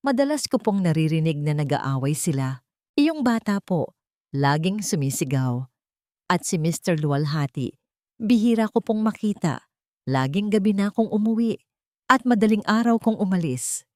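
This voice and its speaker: Simple, believable female neighbour witness read by a woman narrator, Filipino accent, polite, animated storybook delivery. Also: fairly low pitch, normal speed, no echo, clean studio sound, natural voice. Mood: earnest. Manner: plain, polite. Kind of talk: audio storybook character voice.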